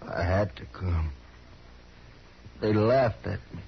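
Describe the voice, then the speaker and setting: An actor playing a drunken, ashamed old braggart father in a 1950s radio western, with a rural American West accent and slurred, halting speech. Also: low-pitched, gravelly, full character voice. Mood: defensive. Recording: muffled, noisy old radio recording.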